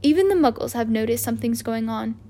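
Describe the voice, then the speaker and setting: Woman doing a strict snappy witch teacher, high and loud, impatient. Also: expressive, quick pace. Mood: irritable. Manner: clipped, sharp, impatient.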